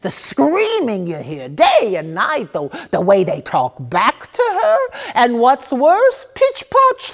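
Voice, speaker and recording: A woman reading a short story as theatrical narration, dramatic, with emphatic pauses. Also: medium pitch. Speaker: reader of short stories.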